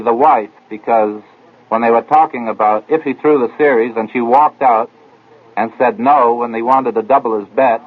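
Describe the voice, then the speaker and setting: A man speaking as a guest on a radio programme, reasoning out a guess in a halting, deliberate way. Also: mid-range voice. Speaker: guest armchair detective. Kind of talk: explaining a guess on air. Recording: thin, narrow old radio broadcast.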